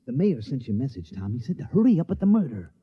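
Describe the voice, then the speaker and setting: An actor playing a practical, encouraging village friend with a folksy manner, in an old-time radio drama. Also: male voice, mid-range voice, big pitch swings, fast, husky. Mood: conspiratorial. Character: supportive, practical village friend urging on a criminal. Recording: dull, lo-fi old radio recording.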